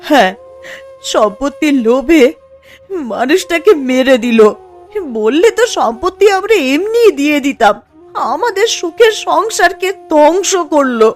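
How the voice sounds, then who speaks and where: A woman's voice: sits high, big pitch swings, a little slow, soft background music. A woman playing a humble, wronged village widow in a cartoon moral story, deferential and plaintive. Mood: grieving.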